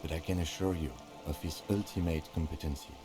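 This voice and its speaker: A man voicing a courteous police lieutenant in a video game, formal, deliberate and reserved. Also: very deep voice, fairly even intonation, mellow, soft background music, voice pushed down. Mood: formal, reassuring.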